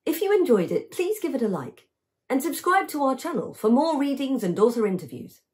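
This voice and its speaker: A woman's voice: high-pitched, very animated, a little fast. A woman in her thirties delivering a scripted promotional channel outro.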